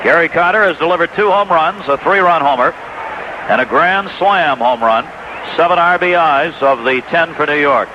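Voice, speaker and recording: A man's voice: a little high, moderate pace. An American man giving live play-by-play baseball commentary as a radio announcer. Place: ballpark broadcast booth. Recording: dull, noisy 1980s radio broadcast.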